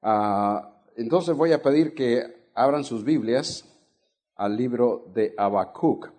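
An older man from Honduras with a faded Honduran accent, a guest preacher teaching a Bible study to a congregation, measured, stretching key words and putting questions to the listeners. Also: mid-range voice, darkish tone. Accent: Honduran Spanish, faded. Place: church sanctuary.

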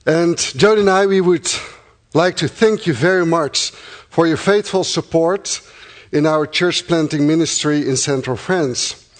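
Middle-aged man, Dutch accent, missionary addressing supporters, earnest and thankful, slightly raised pitch. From Netherlands. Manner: earnest and thankful, with pauses and fillers. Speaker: missionary church planter.

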